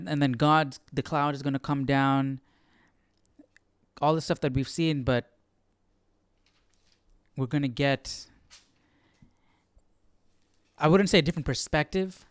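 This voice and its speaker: Clear-voiced man chatting casually on a livestream. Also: mid-range voice, no room sound, clean studio sound. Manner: informal, thinking aloud, often tagging sentences with "right?". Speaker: host of a live Bible study. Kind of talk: casual, conversational livestream commentary.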